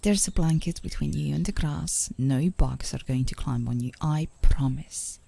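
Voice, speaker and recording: A woman playing an affectionate, teasing girlfriend in an intimate ASMR roleplay, speaking softly and soothingly, often in a whisper, close to the microphone. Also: sits low, moderate pace, heavily acted. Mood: playful coaxing. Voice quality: soft, breathy, often whispering. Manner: soothing, playfully coaxing, with small chuckles. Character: gently coaxing, teasing, affectionate girlfriend on a park date.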